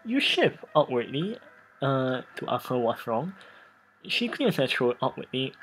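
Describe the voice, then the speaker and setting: Let's play narrator, mid-range androgynous voice, halting dramatic reading with stumbles, music behind. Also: medium pitch, sing-song, a bit bright, rough recording. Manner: halting, stumbles over read text and restarts. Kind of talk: casual game playthrough with dramatic reading. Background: background music.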